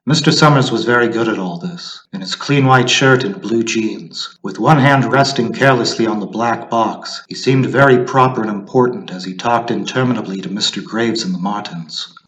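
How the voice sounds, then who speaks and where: A man narrating a short story as a dramatic reading, measured and deliberate. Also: on the low side, a bit bright, breathy edge, rough recording.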